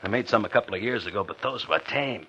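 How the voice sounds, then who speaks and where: A man's voice: on the low side, animated, fast talker, pushed and bright, slightly rough, full character voice. An actor playing a brash, wary, shady novelty salesman with slick patter and an American accent in an old radio thriller. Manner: slick patter, calls everyone "brother". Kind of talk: 1940s-50s radio thriller acting. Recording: dull vintage radio recording.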